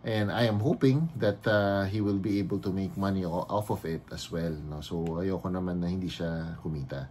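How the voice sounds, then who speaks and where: A man in his fifties with a Filipino accent, chatting casually in his vlog and mixing Tagalog into his English with frequent pauses and fillers. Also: deep voice, fairly even intonation, darkish tone, slight background noise. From Philippines. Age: 50s. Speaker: vlogger and card collector.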